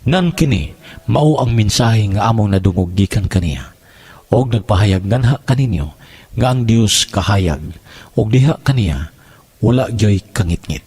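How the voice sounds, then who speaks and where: A man's voice: fairly low pitch, animated, measured pace, darkish tone, husky. A man giving a solemn scripted scripture reading, with guitar music playing behind him. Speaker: scripture reader.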